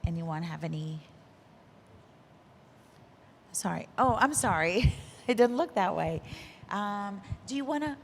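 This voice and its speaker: A woman moderating a conference panel, brisk and friendly as she opens the floor to questions. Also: medium pitch, lively intonation, gravelly, a little hiss or hum. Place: conference hall.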